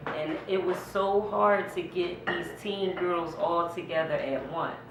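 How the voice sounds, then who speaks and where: A woman's voice: fairly low pitch, a little slow. A middle-aged American woman speaking casually and conversationally as an interviewer. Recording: echoey room, distant mic, background noise.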